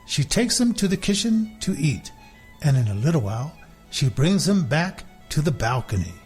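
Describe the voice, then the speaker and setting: A man voicing a smug, possessive pet cat in a children's story, telling it deliberately with pauses. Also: a little high, big pitch swings, a little mellow, slightly rough, soft background music. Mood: smug, unhurried.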